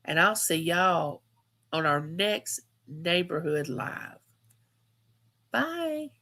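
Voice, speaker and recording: A woman's voice: on the low side, big pitch swings, very slow, pushed and bright. A woman, hosting a casual live chat and telling stories, repeating phrases for emphasis. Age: 50s. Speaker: livestream host.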